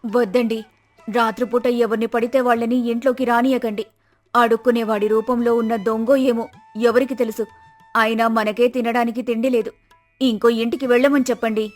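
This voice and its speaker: A woman's voice: on the high side, level tone, normal speed, darkish tone, faint music behind, natural voice. A woman playing a fearful, suspicious village fisherman's wife with a fretful, scolding way of talking in a moral story. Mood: grudging, suspicious. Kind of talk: acted moral story dialogue.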